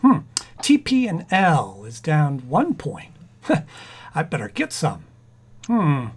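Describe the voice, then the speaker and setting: Guy acting a mild radio sitcom husband, distracted, stammery, bit husky, voice jumps around. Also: fairly high pitch, huge pitch swings, slow pace, full character voice. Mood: absorbed, distracted. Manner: hesitant, stammering, absent-minded. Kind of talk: 1940s radio comedy acting.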